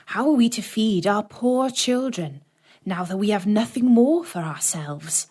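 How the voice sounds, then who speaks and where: Lady doing a worried poor dad in a storybook, soft-hearted, earnest, talks slow. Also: mid-range voice, lively intonation, husky. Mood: anxious. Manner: earnest, careworn. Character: poor, worried, tender-hearted woodcutter father.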